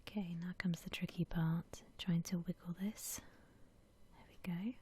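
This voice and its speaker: A woman whispering gently and unhurriedly in a soft, breathy ASMR style, musing aloud as she comments on a game. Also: on the low side, flat delivery, measured pace, slight background noise. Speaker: host of an ASMR gaming channel.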